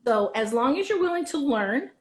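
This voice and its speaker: A woman's voice: high voice, big pitch swings, moderate pace. A woman drama teacher chatting casually in an interview, giving practical advice in an encouraging way and repeating words for emphasis.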